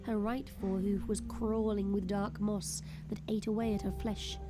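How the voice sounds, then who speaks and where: A woman's voice: mid-range voice, little pitch movement, normal speed. A woman reading scripted narration for an audio drama. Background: faint background music.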